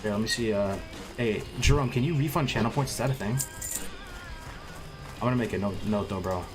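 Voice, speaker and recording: A man chats loosely to his viewers from a home streaming setup, his voice stuffy and congested from a cold. His pitch sits on the low side, and he often stumbles and restarts mid-sentence. Background music plays clearly behind him. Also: fairly quick. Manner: rambling, restarts often, gamer slang. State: congested, sniffly. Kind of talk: casual live-stream chat to viewers.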